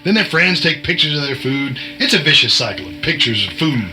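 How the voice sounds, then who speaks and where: Audio drama: folksy, wisecracking insider-cook played by a man, bright forward voice, chiding and cryptic. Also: a little high, big pitch swings, a little fast, faint music behind, full character voice. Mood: sardonic, teasing. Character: cagey, wisecracking male veteran insider and short-order cook.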